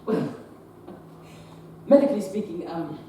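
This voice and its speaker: A man's voice: sits high, lively intonation, slow pace, warm tone, a little hiss or hum. A teen boy playing an awkward, earnest Victorian doctor who talks in a stammering, fussy way on a theatre stage. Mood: embarrassed. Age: teens. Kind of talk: teen stage play performance. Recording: echoey stage from far off.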